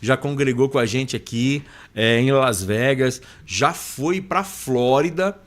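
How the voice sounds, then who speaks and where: A man's voice: medium pitch, expressive, takes it slowly. Brief: male podcast host, Brazilian Portuguese accent, informal and affectionate, mid-range voice, conversational delivery. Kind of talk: casual live podcast chat, reading out chat greetings.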